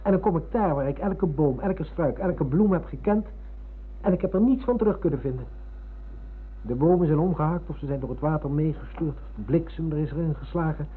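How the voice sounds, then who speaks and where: A man playing a nostalgic, earnest middle-aged visitor to his old hometown in a radio drama, polite and husky. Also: on the high side, fairly quick. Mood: wistful, insistent. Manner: earnest, polite, dwelling on words. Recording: narrow phone-like old radio recording.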